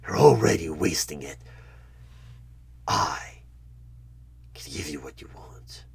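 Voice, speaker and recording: A man playing a sinister devilish tempter in a horror ASMR roleplay, slow and coaxing with a breathy, husky half-whisper and menace. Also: a bass voice, animated, exaggerated voice. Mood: insistent, menacing. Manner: slow, menacing, coaxing, with sudden loud bursts.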